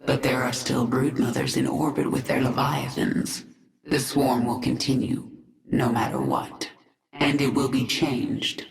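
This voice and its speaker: A woman's voice: very deep voice, gravelly, full character voice. An actress playing a vengeful, resolute warrior queen in a game cutscene, grave and commanding. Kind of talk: video game cutscene acting. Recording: strong echo with music behind.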